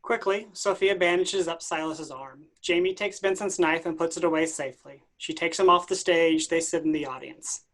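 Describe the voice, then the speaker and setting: A man's voice: a little high, normal speed, few pauses, fairly bright tone. A man reading stage directions as scripted narration at a play reading.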